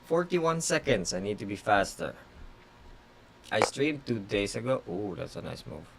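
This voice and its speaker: A man with a low, gravelly voice whose first language is Tagalog talks slowly through his moves. He speaks in short, halting phrases with plenty of fillers, like a grandmaster streaming casually. There is clear background noise behind him. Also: sing-song. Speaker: chess grandmaster streaming blitz games. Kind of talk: casual live-stream commentary.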